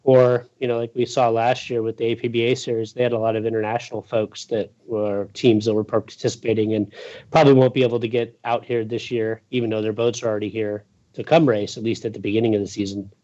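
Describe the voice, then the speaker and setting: A man's voice: on the low side, level tone, fast, warm tone, lo-fi sound. A man chatting casually and informally as an interview guest, rambling a little as he goes. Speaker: guest, boating news journalist. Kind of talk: casual chat.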